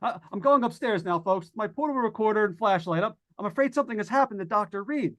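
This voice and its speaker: A man's voice: high voice, expressive, very noisy. A man playing a nervous, lonely radio broadcaster unravelling in a haunted house, stammering and rambling in 1940s radio suspense style. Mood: frightened. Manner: stammering, halting, rambling with repeated words. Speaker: actor in old-time radio play. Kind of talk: 1940s radio suspense acting, live monologue.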